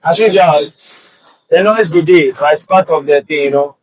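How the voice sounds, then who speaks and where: A man from Ghana with a Ghanaian English accent hosting a podcast, chatty and quick to interject with pidgin-flavoured asides. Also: a little high, slight background noise, low-quality recording. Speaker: podcast host. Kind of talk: casual podcast conversation.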